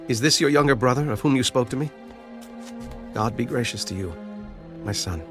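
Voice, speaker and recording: An actor playing a dignified, kindly governor in a Bible audio drama, formal and authoritative. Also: male voice, medium pitch, moderate pace, over music, lightly acted. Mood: gently inquiring. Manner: commanding, formal.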